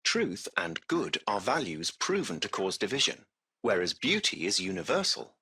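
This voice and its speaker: A crisp-edged, forceful yet impeccably courteous machine chauffeur from a video game. The delivery is formal and precise with a lively, varied melody, and the voice carries a gravelly creak. The character sounds curious and butler-like, choosing words with care. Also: male voice, on the low side, heavily acted. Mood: courteous, serene. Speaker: AI driver of a cab service.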